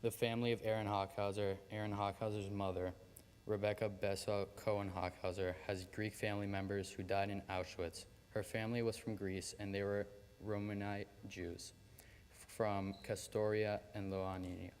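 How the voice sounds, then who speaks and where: A young man solemnly reading a list of names and dates in a steady, even recitation. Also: lowish voice, moderate pace, slight background noise. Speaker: student reader. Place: large hall. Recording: long hall reverb with faint ambience.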